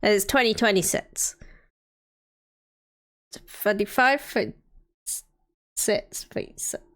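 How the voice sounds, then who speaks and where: A woman's voice: medium pitch, takes it slowly, a bit bright. Chatty woman in her thirties. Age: 30s. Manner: chatty, thinks aloud, greets viewers by name. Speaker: streamer. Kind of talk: casual live-stream chat while playing a city-building game.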